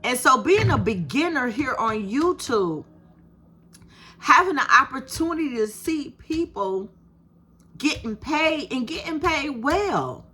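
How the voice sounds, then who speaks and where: A woman in her fifties with a soft voice, talking straight to her viewers in a casual, encouraging way and calling them "baby". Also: sits high. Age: 50s. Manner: animated, encouraging, talks to viewers with "baby" and "you hear me". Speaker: YouTube creator. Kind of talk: casual direct-to-camera talk.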